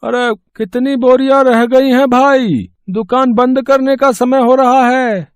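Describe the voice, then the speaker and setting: A man voicing a brisk shopkeeper boss giving orders in a moral-story cartoon. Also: very high-pitched, steady tone, clean tone, no room sound, clean studio sound. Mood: bossy.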